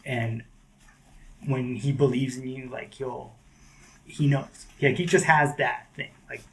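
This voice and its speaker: A man's voice: medium pitch, takes it slowly, poor audio. A man who is a guest skateboarder, talking casually and loosely on a podcast. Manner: relaxed, slangy, lots of "like" and "dude".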